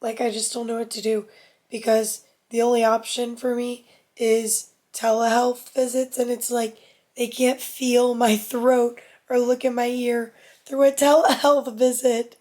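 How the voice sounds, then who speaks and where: A young adult woman chatting casually to her vlog camera, hoarse from losing her voice, with lots of "like" fillers. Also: on the high side, little pitch movement, unhurried, a little mellow. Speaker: vlogger.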